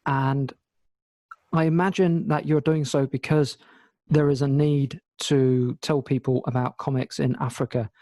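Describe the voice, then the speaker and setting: A man's voice: mid-range voice, steady tone, measured pace, mellow, dry recording. A man hosting an online interview, friendly and upbeat as he introduces his guest and asks questions. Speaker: interview host.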